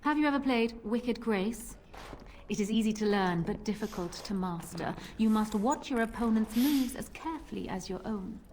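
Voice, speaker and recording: A woman's voice: mid-range voice, expressive, normal speed, darkish tone, slight background noise, natural voice. An actress voicing a confident, flirtatious female pirate captain and duelist in a video game, with a teasing, theatrical manner. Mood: playful. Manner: teasing, self-assured, theatrical.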